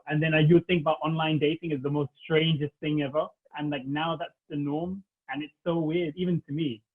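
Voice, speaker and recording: A man, chatting casually as a podcast guest and rambling with lots of "like". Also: on the high side. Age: 30s. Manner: rambling, heavy use of "like". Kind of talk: casual chat. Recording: tinny phone-like line.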